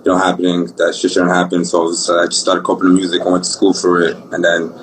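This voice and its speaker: A young man with a Brooklyn accent, an R&B singer talking about his life in a relaxed, rambling, slangy way. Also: sits low, fast talker, noisy background. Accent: Brooklyn New York. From Brooklyn, New York. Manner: laid-back, rambling, slangy. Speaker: singer guest. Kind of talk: casual interview talk.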